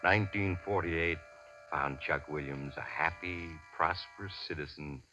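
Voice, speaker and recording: An actor playing the grave storyteller of a 1940s radio mystery, narrating in a measured, dramatic manner. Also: male voice, a bass voice, pushed and bright, a little hiss or hum, heavily acted. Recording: dull old radio recording. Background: music.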